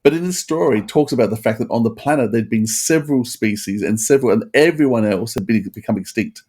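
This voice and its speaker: Mellow-voiced man presenting a podcast. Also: medium pitch, fast, slightly rough. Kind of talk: informative podcast commentary.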